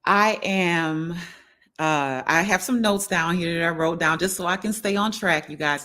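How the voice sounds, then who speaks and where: A woman's voice: on the low side, bright, forceful tone. A middle-aged woman, chatting casually to her livestream viewers and often checking in with them as she hosts. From New Orleans, United States. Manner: conversational, addresses viewers directly, often seeks agreement. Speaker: wellness livestream host.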